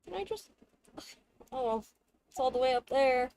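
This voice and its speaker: A woman's voice: sits high, lively intonation, measured pace, mellow. A woman streamer giving casual, chatty commentary while she plays a video game. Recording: background noise and game ambience.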